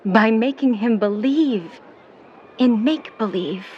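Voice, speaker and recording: A woman playing a skeptical, worried middle-aged mother in a 1950s period audio drama, speaking in a proper, measured way. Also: a little high. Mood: skeptical, stern. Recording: dull, noisy recording with ambience.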